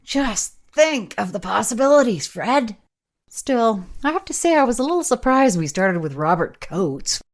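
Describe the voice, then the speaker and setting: An actress as a chatty, animated woman. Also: fairly high pitch, a little slow. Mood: excited. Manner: casual, emphatic. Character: excitable, chatty, practical female camera operator. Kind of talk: radio play, naturalistic dialogue.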